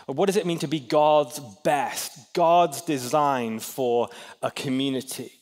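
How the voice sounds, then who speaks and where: A man's voice: mid-range voice, fairly even intonation, takes it slowly, a little mellow. A man in his thirties, raised in Hong Kong, preaching a chatty sermon in a church and asking the crowd questions with self-deprecating humor. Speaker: pastor. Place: large church hall. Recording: long hall reverb.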